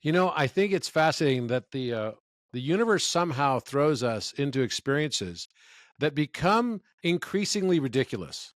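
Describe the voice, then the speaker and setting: A man's voice: on the high side, very animated, moderate pace, no echo. Enthusiastic man telling stories, dry sound. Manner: enthusiastic, storytelling. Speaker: interview guest. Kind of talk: conversational interview.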